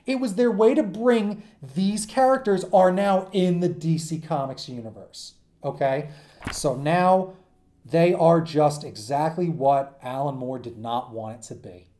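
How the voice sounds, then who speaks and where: Mellow-voiced man giving an informal lecture. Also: fairly high pitch, unhurried. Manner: rambling, frequent check-ins like "okay?" and "right?". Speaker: teacher giving an assignment. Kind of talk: informal lecture to students, off the cuff.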